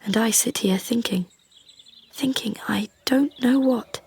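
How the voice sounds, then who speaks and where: Measured young Victorian wife, faint noise. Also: female voice, a little high, vocal fry. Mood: reflective. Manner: measured, precise, softly formal. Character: composed, devoted young Victorian wife recounting her diary. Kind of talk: radio drama narration.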